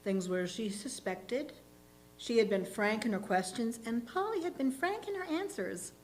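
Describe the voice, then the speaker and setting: A woman reading a literary short story aloud in a deliberate, expressive narrating style. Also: fairly high pitch, low-quality recording. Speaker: reader in a readers' theatre. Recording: faint background noise and hum.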